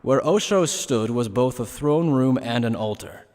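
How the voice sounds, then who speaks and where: A man narrating a fantasy anime fan dub as a solemn, even-toned storyteller. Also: medium pitch, measured pace, lightly acted. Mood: solemn, expository. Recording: long reverb on the voice.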